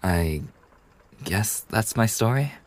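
A man playing a kind, polite young bartender in an ASMR roleplay, speaking softly and breathily in a courteous, soothing way with hesitant pauses. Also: lowish voice, lively intonation. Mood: reflective, candid. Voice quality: soft, breathy. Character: kind, polite young male bartender, gently attentive university student. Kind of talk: ASMR roleplay, soft intimate scripted acting.

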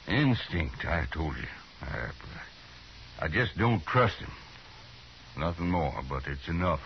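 A hissy, muffled old radio Western recording carries a stubborn young-to-the-trade rancher from Alabama. His voice is extremely low, and he talks bluntly and broodingly, with halting proud phrases. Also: sing-song, creaky, exaggerated voice. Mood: bitter, determined. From American. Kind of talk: 1950s radio Western acting.

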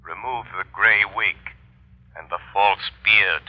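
An actor playing a mysterious, all-knowing invisible crime fighter in an old radio thriller, speaking with measured, ominous command. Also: male voice, fairly low pitch. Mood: calm, authoritative. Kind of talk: 1930s-40s radio thriller acting. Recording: dull, lo-fi old radio recording.

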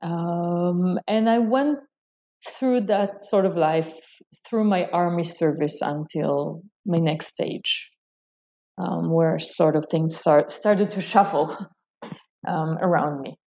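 An Israeli woman, a Hebrew speaker, recounts her path in a reflective interview. Her voice sits a little low with a mellow, dark tone. She hesitates often, restarting phrases as she searches for words. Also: takes it slowly. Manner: thoughtful, hesitant with frequent ums and restarts. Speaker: scholar guest. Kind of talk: interview answers.

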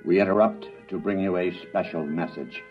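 An actor playing a grave, measured newscaster delivering dramatic bulletins in an old-time radio drama. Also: male voice, deep voice, steady tone, faint music behind, lightly acted. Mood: grave, urgent. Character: grave male radio newscaster reporting disaster. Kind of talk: 1950s-style radio news announcing in a drama. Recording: muffled, distant vintage radio, husky sound.

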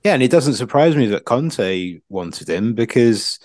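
A man chatting casually as a football pundit, thinking aloud in a halting, circling way. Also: medium pitch, expressive, a little mellow, no room sound, studio quality.

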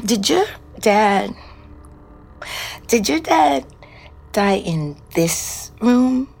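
An actress playing a weary, foul-mouthed middle-aged ex-convict, telling her story in a halting, blunt, confessional way. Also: fairly high pitch, big pitch swings, gravelly, slightly rough, full character voice. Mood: subdued. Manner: halting, blunt, conversational, profane. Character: weary, foul-mouthed, wary woman ex-convict, a rootless New Yorker. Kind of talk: confessional fiction podcast narration and dialogue.

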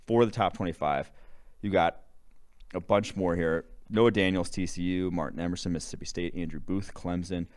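A young man from Wisconsin co-hosting a sports podcast, rattling off football prospects in a casual, animated way. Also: low-pitched, a little fast. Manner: animated, rattles off player lists. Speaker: podcast co-host, draft analyst. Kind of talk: casual sports analysis chat.

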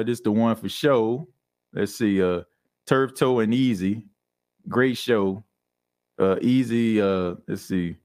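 A young man hosting a podcast in casual chat, laughing often and slipping into animated impressions. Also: medium pitch. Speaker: podcast host. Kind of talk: casual chat reading live comments.

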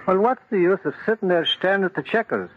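An actor playing a teasing, blustery veteran fireman with a rough voice and folksy talk in an old radio drama. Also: sits high, animated, fast talker, no echo. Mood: teasing, gloating. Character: crusty, teasing veteran fireman, an older man. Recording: muffled vintage radio recording.

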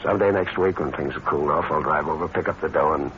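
An actor with an American accent playing a smooth, cold-blooded schemer in a vintage radio crime drama, in terse hard-boiled slang. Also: male voice, a bass voice, fast, a bit bright. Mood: slick, persuasive. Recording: muffled, noisy old radio recording.

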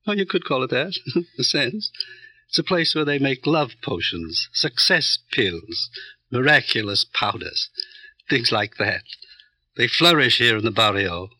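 Smug, piercingly bright-voiced barrio fixer. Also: male voice, medium pitch, big pitch swings, no echo, full character voice, low-quality recording. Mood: slyly amused. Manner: smooth-talking, condescending, pompous, deliberate. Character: smug, calculating middleman who runs the barrio. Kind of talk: old-time radio crime drama acting.

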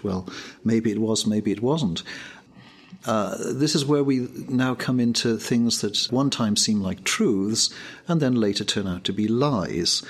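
An older man from Wales, answering interview questions in a measured, thoughtful way. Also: fairly low pitch, breathy edge. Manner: measured, thoughtful, with pauses and fillers.

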